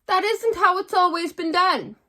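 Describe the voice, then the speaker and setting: A woman's voice: very high-pitched, normal speed, bright, forceful tone, pitched above the performer's own voice. A woman voicing a bossy, fussy cricket in a picture book, insistent about tradition. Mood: objecting. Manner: insistent, officious.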